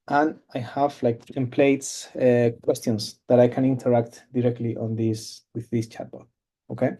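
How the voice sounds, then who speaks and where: Halting man presenting on a webinar. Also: medium pitch, mellow. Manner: halting, many fillers and restarts. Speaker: cloud company presenter. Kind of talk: webinar product demo. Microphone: video call.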